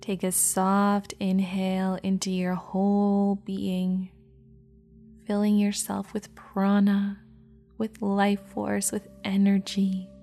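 A woman guiding a meditation in a soft, slow, half-whispered voice. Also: mid-range voice, monotone, soft background music. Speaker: meditation guide. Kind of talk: guided meditation.

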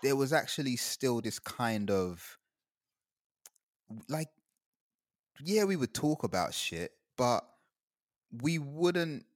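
A man's voice: mid-range voice, big pitch swings, takes it slowly, pauses often, no echo, clean studio sound. A young man from Guyana in casual chat, rambling and laughing often as he talks.

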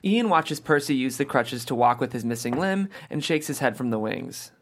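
A man's voice: mid-range voice, sing-song, normal speed. A man narrating stage directions from a screenplay at a table read.

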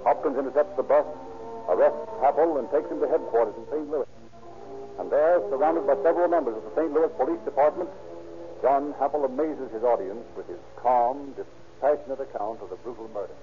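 A man narrating a 1930s radio crime drama in a formal, declamatory manner with deliberate phrasing. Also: mid-range voice, natural voice. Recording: narrow old radio recording, loud music behind.

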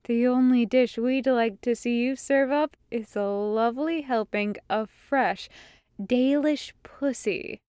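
A woman's voice: fairly high pitch, slow pace, darkish tone, clean tone, no echo, clean studio sound. A woman voicing a sultry, wicked woman in a story, sly, blunt and suggestive. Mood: blunt, seductive.

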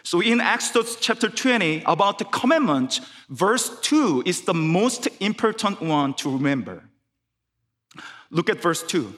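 A man's voice: high voice, very animated, measured pace, a bit bright, in a large hall. A man whose first language is Korean, preaching a sermon in careful English with a Korean accent, often restarting phrases. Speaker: guest preacher. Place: church sanctuary.

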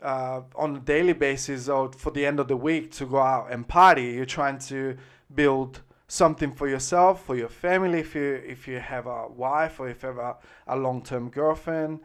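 A man's voice: medium pitch, fairly even intonation, moderate pace. A man in his thirties from Italy with an Italian accent, chatting as an interview guest in a rambling way, full of fillers and restarts. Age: 30s. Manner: rambling, heavy use of "like" and "um", frequent restarts. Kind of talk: casual interview chat. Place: Australia.

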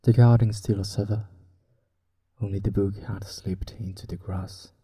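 A man who is a native Spanish speaker with a Spanish accent, speaking softly and breathily in a hushed, unhurried way, as a podcast host helping listeners fall asleep. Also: on the low side, steady tone. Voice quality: soft, breathy, husky. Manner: hushed, unhurried, drifting toward whisper. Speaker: sleep podcast host and story reader. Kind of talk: soft, relaxing bedtime talk and story reading.

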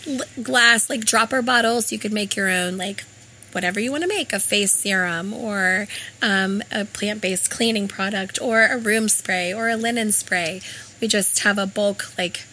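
A woman with a raspy, hoarse voice from laryngitis, explaining her store conversationally in an informal interview as its co-owner. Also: medium pitch, steady tone, normal speed, bright, forceful tone, slight background noise, poor audio. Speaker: health food store co-owner.

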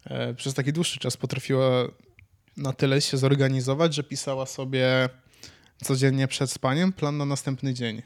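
A man's voice: mid-range voice, little pitch movement, moderate pace. A man, talking casually as a podcast co-host. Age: 20s. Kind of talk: casual chat.

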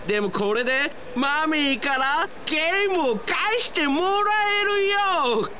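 An earnest young runner with a strange foreign-sounding lilt, played for laughs in a radio drama by a man putting on the voice. His drawn-out words swing from low to sudden high squeals. His speech has a bouncy, animated sound. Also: a little hiss or hum, heavily acted. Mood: relieved. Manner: swooping high exclamations. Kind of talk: comic radio drama acting.

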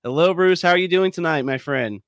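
A man's voice: on the high side, fairly quick, no echo, clean studio sound. A male podcast host getting over a cold, a bit sniffly, talking in an upbeat, chatty way with lots of fillers. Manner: upbeat, chatty, many ums and uhs. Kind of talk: casual podcast chat.

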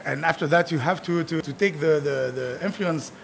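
A man in his twenties, born in Tunisia and raised in Montreal, talking casually as a chef guest, halting and searching for words, swearing now and then. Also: fairly high pitch, gravelly, slight background noise. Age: 20s. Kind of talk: casual chat.

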